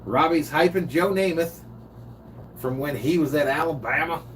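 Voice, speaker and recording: A man's voice: on the high side, normal speed. A man hosting a live stream, giving casual play-by-play and reading viewer comments aloud. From California. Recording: distant mic with faint noise.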